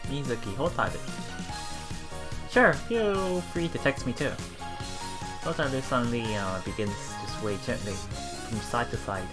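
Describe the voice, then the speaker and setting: Casual game streamer reading and reacting aloud, mid-range voice, halting delivery, faint music behind. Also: medium pitch, expressive. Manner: halting, stumbles and repeats words. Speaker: let's play host.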